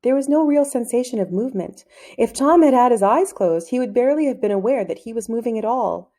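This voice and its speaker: A woman reading a children's novel aloud as a storyteller, in measured, clearly phrased narration. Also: a little high, animated, warm tone.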